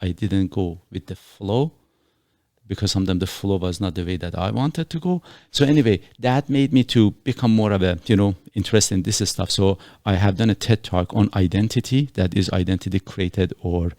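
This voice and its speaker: Deep gravelly middle-aged man from Iran. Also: normal speed, darkish tone, no room sound, clean studio sound. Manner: earnest, rambling explanations with many fillers. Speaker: podcast host. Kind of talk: casual podcast chat.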